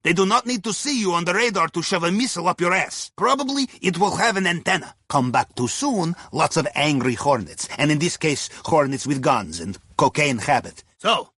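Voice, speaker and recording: A man's voice: sits high, sharp tone, slightly rough, no echo, clean studio sound, exaggerated voice. An actor playing a wry, upbeat Russian submarine helmsman in a video game, with a Russian accent and chummy, clipped broken English. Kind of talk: scripted game dialogue, mission briefing.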